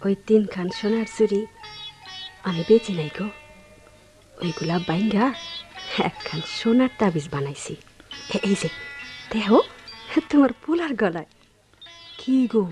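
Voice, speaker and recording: A woman's voice: mid-range voice, animated, measured pace, pauses often, darkish tone, soft background music, natural voice. Woman acting as a coy, lilting young village wife. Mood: coaxing, pleading. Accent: rural Bangladeshi. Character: tender, playful young village wife and mother. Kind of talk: Bengali radio play acting.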